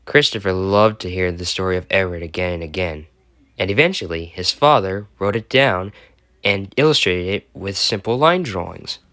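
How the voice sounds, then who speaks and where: A man's voice: deep voice, animated, moderate pace, a bit bright, dry recording. A man with an American accent reading a bedtime story and chatting about it as he goes. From United States. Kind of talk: storytelling and chat.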